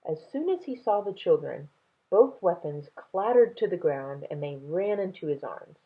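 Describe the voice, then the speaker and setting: A woman reading a children's book aloud expressively, with dramatic pauses and emphasis. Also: lowish voice, expressive, husky. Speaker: story reader. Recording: tinny, phone-like narrow sound.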